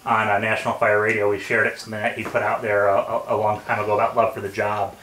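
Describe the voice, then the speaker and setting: A man's voice: fairly low pitch, level tone, fast talker, fairly bright tone. A man hosting a casual conversational interview with firefighters in a workshop. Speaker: podcast host interviewing firefighters. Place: indoor workshop. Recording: echoey room, distant mic, background noise.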